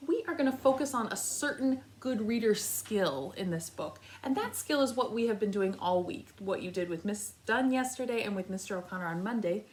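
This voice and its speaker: A woman teaching a read-aloud lesson for young children, speaking directly to them and thinking aloud as she goes. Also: on the high side. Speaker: kindergarten teacher reading aloud.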